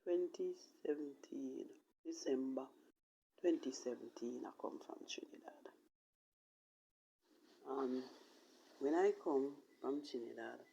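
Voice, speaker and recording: Husky low-key Jamaican woman, creaky voice. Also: slow pace, long pauses, dark tone, dull audio. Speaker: vlogger telling own life story. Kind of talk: personal storytelling in casual patois.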